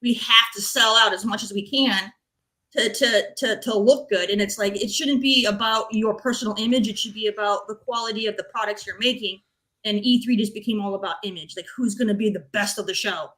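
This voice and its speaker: A woman's voice: medium pitch, brisk, bright, forceful tone, rough recording. A woman speaking informally as a panelist on a gaming talk show, giving opinions in a blunt, emphatic way.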